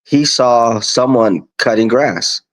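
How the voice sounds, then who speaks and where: A man in his fifties from Kansas, born in Colombia, telling his story casually and deliberately as a podcast guest. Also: medium pitch. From born in Colombia, raised in Kansas, USA. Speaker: podcast guest, lawn business owner.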